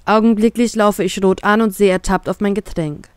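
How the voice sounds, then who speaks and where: A woman's voice: medium pitch, steady tone, normal speed, smooth, dry recording, clean studio sound. A woman narrating a scripted first-person story as an audiobook. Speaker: narrator of a fan fiction story.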